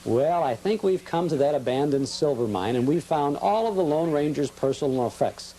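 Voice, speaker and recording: A man's voice: on the high side, lively intonation, moderate pace, mellow, slight background noise, poor audio. A man hosting a TV show, introducing it in an upbeat, inviting way and then interviewing a guest. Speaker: TV show host.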